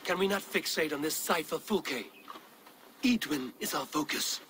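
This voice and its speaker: An actor playing a blunt, skeptical but loyal Norse warrior in a video game, direct and challenging. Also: male voice, fairly high pitch, moderate pace, noisy background, close to an everyday voice.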